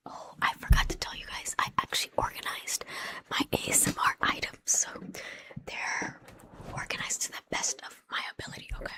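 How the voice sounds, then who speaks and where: A woman's voice: fairly quick, sharp tone, low-quality recording. A woman whispering an exasperated storytime while chatting with live viewers as an ASMR host. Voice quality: breathy whisper.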